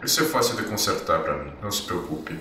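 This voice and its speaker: Guy doing a smooth condescending vampire for a tabletop game, low voice, super echoey. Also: animated, fast talker, pushed and bright, a little hiss or hum. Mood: cool courtesy. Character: suave, calculating male vampire who hypnotizes victims. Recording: echoey, distant, music behind.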